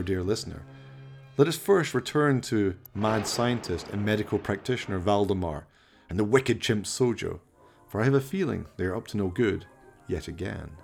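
A man's voice: lowish voice, creaky, darkish tone. A man narrating a scripted radio play in an arch, florid, dramatic storytelling manner.

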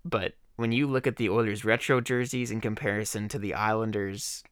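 A man hosting his own podcast, talking casually in a slightly hoarse voice, rambling with fillers. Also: lowish voice, steady tone, fairly quick, a bit bright, no room sound, studio quality. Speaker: podcast host. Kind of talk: casual solo podcast talk.

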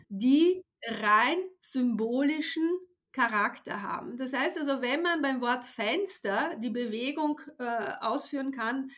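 A middle-aged woman from Italy, native in Italian, speaks in a rather high voice. She explains like a teacher being interviewed, stressing key points and checking in with short tag words. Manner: emphatic, didactic, often adds tag "ja". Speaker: neuroscientist and language teacher, expert guest.

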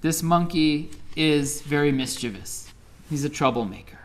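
A storyteller voicing a big, strong, patient ox in a children's story, speaking slowly and gently. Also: medium pitch, lightly acted. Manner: slow, calm and soft.

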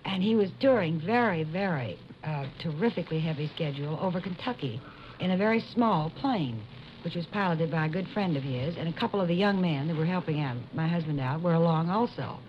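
A woman's voice: low voice, brisk, highs cut off, a little hiss or hum. A woman telling an anecdote in conversation, as an interview guest, on an old radio recording. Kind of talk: anecdote told in a relaxed conversation.

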